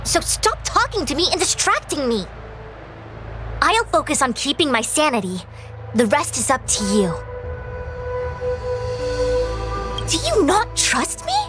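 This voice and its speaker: A woman's voice: high voice, big pitch swings, moderate pace, music in the background. An actress voicing an anxious, chatty young female fortune-teller in a video game, dramatic and explanatory. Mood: nervous, flustered.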